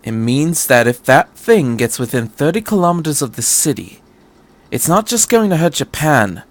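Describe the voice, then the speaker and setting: A man's voice: a little high, very animated, normal speed. Man acting out an eccentric male scientist hero, intense, grim, emphatic, urgent, storybook sci-fi. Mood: grim warning.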